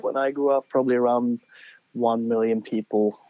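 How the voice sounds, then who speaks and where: A man, telling lively stories in a casual chat and acting out his younger self, heard over a phone call. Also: mid-range voice, moderate pace, no echo. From Sweden. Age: 40s. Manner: animated storyteller, acts out his younger self. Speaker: guest musician.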